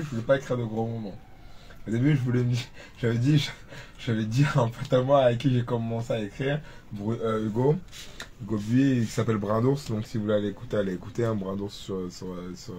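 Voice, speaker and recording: A man's voice: mid-range voice, darkish tone, a little hiss or hum. A man in his twenties from Cameroon, a rapper talking about his start in music in a casual interview, rambling with many restarts and fillers. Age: 20s. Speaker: rapper guest.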